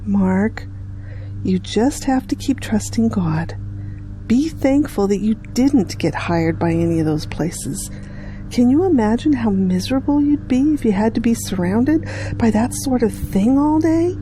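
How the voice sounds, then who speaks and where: Woman acting reassuring mother, middle-aged, mellow and slightly high voice, gentle, audio drama, faint hiss of noise. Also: animated, unhurried, rough recording. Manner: gentle, encouraging, questioning.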